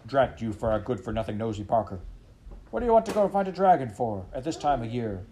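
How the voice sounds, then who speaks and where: A man voicing a gruff male farmer who scolds in rustic country dialect. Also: medium pitch, expressive, unhurried, mellow, slight background noise, natural voice. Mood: grumbling.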